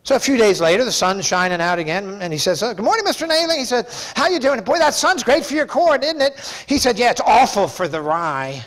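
High-pitched, emphatic male preacher. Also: lively intonation, a little fast, few pauses, fairly bright tone. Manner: emphatic, folksy, conversational with raised-voice bursts. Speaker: pastor. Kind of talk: sermon. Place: church sanctuary, preaching from a pulpit.

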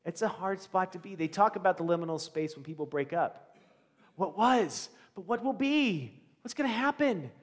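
Echoing church hall, American male preacher, middle-aged, fairly high-pitched voice, conversational sermon, dramatic storytelling. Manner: animated storytelling with rhetorical questions and dramatic pauses. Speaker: pastor. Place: large church sanctuary, indoors, before a congregation.

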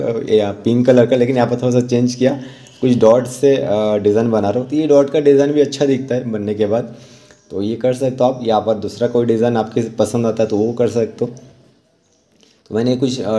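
An Indian man giving an informal step-by-step tutorial voice-over as an instructor, halting and repeating himself as he explains. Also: mid-range voice, warm tone. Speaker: rangoli art instructor.

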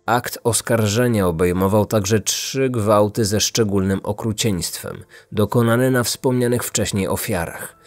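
A man's voice: medium pitch, a little mellow. A man hosting a podcast, reading scripted true-crime narration. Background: faint music.

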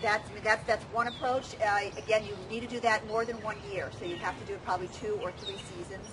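A middle-aged woman leading an outdoor walking tour, explaining informally and a little haltingly. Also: medium pitch, steady tone. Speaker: walking tour guide, plant educator. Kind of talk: informal outdoor talk and answering questions. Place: outdoors in a wooded riverside park. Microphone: camera among the crowd, a few metres off. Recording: outdoor camera recording with loud background noise.

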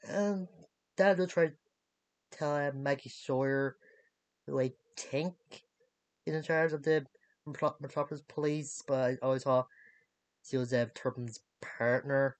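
Young man, casual reviewer, slow and halting, searching for words, medium pitch, dry close room sound. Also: steady tone, dry recording. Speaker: reviewer of animated series. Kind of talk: casual episode recap. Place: small cluttered bedroom, indoors.

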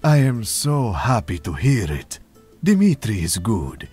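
Give me a slow, theatrical charmer of a barista, deep and husky. He lingers on every gallant phrase with a lively, swooping delivery. Faint game music sits under him. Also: full character voice.

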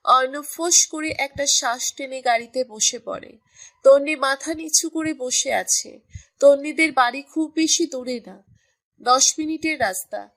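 A woman's voice: sits high, a little slow, fairly bright tone. A woman reading scripted narration for a romantic story as its storyteller.

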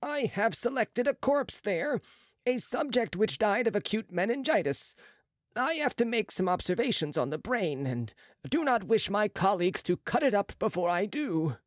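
A sneering young surgeon, proud and boastful, as a reader voices him. His pitch sits well above the reader's own and leaps widely. The audiobook recording is dry and close. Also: big pitch swings, normal speed, dry recording, natural voice. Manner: boastful, lofty, sharp retorts. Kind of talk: audiobook character reading.

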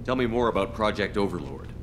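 An actor playing a stern, no-nonsense military commander in a video game, asking blunt, terse questions. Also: male voice, on the low side, lively intonation, fast talker, noisy background, natural voice. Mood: businesslike, stern. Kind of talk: video game cutscene dialogue.

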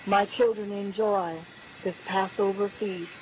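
A woman's voice: mid-range voice, steady tone, measured pace. A woman delivering a prophetic word in the voice of God, in a solemn, repetitive declaring cadence that lifts on key phrases. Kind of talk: prophetic utterance spoken as God. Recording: tinny phone-like line with faint hiss.

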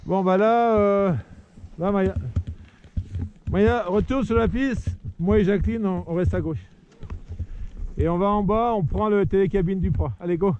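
High-voiced man coaching kids on skis. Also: a little slow, pauses often, a little mellow. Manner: encouraging, repeating short commands. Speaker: father coaching his children. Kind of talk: shouted ski coaching while filming. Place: outdoor snowy mountain ski slope and forest. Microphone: action camera worn while skiing. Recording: dull lo-fi action-camera sound with faint wind.

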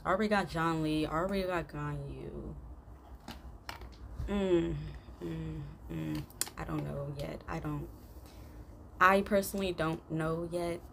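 A young woman host giving casual, slangy, halting reaction commentary that often repeats itself. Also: low-pitched, creaky, a bit bright. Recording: noisy room recording.

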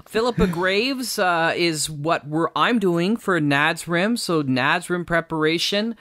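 A man's voice: a little high, expressive, slow, bright, forceful tone. A man hosting a podcast in casual conversation, talking with enthusiasm. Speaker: podcast host. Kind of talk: casual chat.

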